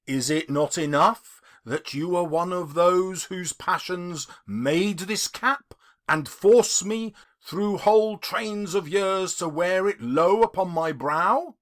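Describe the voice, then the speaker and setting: A man voicing a gentle, solemn, ageless spirit in a storybook, speaking softly and with measured care. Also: high voice. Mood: solemn, reproachful. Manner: soft, slow, measured.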